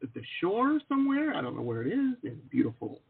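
A middle-aged man hosting a casual interview, rambling and animated, with lots of fillers. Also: high voice, fast, gravelly, breathy edge. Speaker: host. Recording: phone-like lo-fi line.

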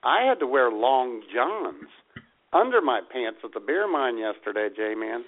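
A man's voice: mid-range voice, big pitch swings, unhurried. A man co-hosting an internet radio show, trading folksy, joking banter in casual chat. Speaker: co-host. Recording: tinny phone-like line.

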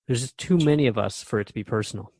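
A man's voice: medium pitch, fast, a little mellow, husky, dry recording. A man playing a thoughtful, curious radio host in a radio drama, questioning a spirit guide in a reflective, conversational way.

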